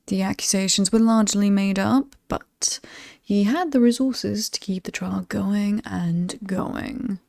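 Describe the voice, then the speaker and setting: A woman with a British accent playing an alluring, possibly demonic lady of a dark estate, breathy and often whispering, teasing and coolly menacing in a horror ASMR roleplay. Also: medium pitch, no room sound, studio quality. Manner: slow, teasing, coolly amused and aristocratic. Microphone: close, dry microphone.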